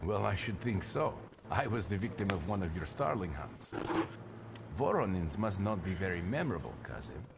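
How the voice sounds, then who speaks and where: A formal, subdued nobleman in a video game, his voice very deep yet swinging widely in pitch. He speaks with a dry, reserved manner. Loud background noise runs under the game audio. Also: sing-song, moderate pace, vocal fry, heavily acted. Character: reserved noble man, cousin with heart trouble.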